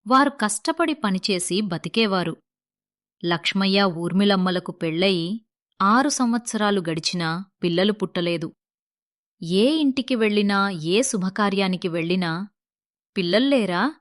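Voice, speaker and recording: A woman's voice: medium pitch, expressive, unhurried, long pauses, no room sound, studio quality. A woman narrating a scripted moral story as the storyteller.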